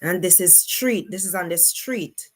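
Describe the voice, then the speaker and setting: Jamaican woman, live stream host. Lowish voice, very slow, casual commentary with repeated exclamations. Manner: unhurried, repetitive exclamations.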